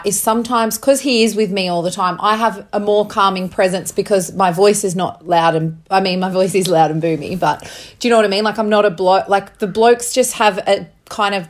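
A woman's voice: medium pitch, moderate pace. A young woman in casual conversation with her partner, rambling with lots of fillers and the odd swear. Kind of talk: casual couple chat.

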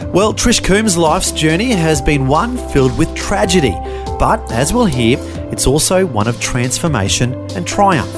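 A man's voice: on the high side, very animated, measured pace. Australian male host over guitar music. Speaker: podcast host. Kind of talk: scripted show introduction.

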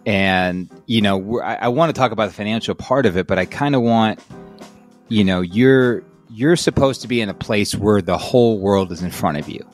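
A man hosting a podcast interview, enthusiastic and quick to address the listeners directly as he prompts his guest. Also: fairly low pitch, faint music behind. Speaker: podcast host.

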